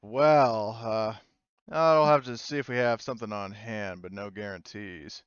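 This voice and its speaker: Man playing a guarded pharmacy clerk, crisp voice. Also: lowish voice, unhurried, no echo, highs cut off, close to an everyday voice. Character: wary, noncommittal male pharmacy clerk.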